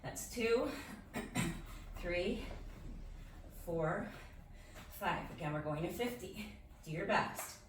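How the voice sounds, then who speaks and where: Fitness instructor, woman, phlegmy from a lingering cough, slow steady counting, far from the mic in a very echoey room with faint noise. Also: lowish voice. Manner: encouraging, counts reps aloud while exercising. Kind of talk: workout instruction, counting reps. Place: home room.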